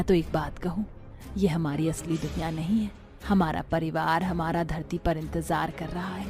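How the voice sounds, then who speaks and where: A woman acting a gentle, pleading elderly mother in a cartoon story, with music behind her. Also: lowish voice, close to an everyday voice. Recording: loud music bed behind the voice.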